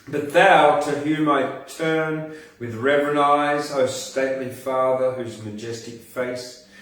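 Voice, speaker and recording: Slow-speaking man in a very echoey room. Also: mid-range voice, steady tone, a bit bright. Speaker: poetry podcast host and reader. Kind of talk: poetry recitation with casual asides between poems. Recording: echoey room, distant from the mic.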